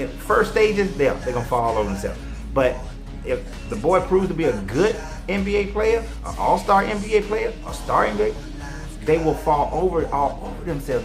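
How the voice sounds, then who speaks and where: A man in his forties giving an emphatic, preachy motivational monologue, talking straight to his viewers. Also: on the high side. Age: 40s. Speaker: online commentator and life coach.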